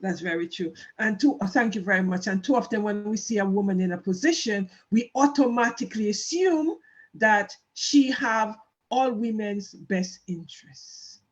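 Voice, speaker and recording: A woman interviewer who affirms her guest and then offers her own measured views before asking her next question. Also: medium pitch, highs cut off. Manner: measured, affirming, frequent "mm" backchannels.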